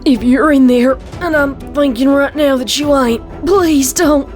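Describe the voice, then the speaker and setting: Young farm mare, played by a woman, resolute but scared, slow highish delivery over music, for a dramatic story reading. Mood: pleading. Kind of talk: dramatic fan fiction reading.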